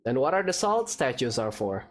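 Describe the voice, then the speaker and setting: A man's voice: medium pitch, big pitch swings, normal speed, noisy background. A man hosting a game playthrough, reading dialogue choices and reacting in an offhand, hesitant way. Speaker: gaming video host.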